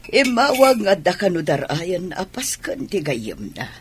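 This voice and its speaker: A woman's voice: lowish voice, very animated, measured pace, exaggerated voice. An actress with a Filipino accent playing a concerned older mother giving advice in a radio drama. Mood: concerned, resigned. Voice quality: raspy, breathy. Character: concerned older mother advising her married daughter. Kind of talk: Ilocano radio drama acting.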